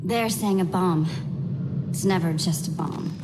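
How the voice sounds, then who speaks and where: A blunt, battle-ready female Templar soldier in a video game, voiced by a woman. Her voice sits a little low and comes through terse and clipped. Clear ambient noise runs under the cutscene audio. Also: a little slow, natural voice. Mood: grim. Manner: terse, matter-of-fact.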